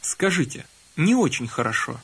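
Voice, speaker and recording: A man narrating a scripted language lesson, calmly prompting the listener to repeat phrases. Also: on the high side, normal speed, husky. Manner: calm instructive prompting. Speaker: language course instructor.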